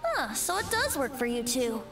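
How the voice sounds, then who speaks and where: High-voiced, lilting, playful boy bard. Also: sing-song, moderate pace, over loud music. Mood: coy. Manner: theatrical, teasing. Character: playful teasing young male bard, boyish and breezy. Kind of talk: anime game voice acting.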